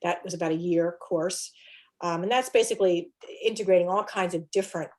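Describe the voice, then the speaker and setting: A woman health coach explaining nutrition in a relaxed interview, with many restarts. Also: on the low side, fairly quick, a little hiss or hum. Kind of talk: casual interview talk.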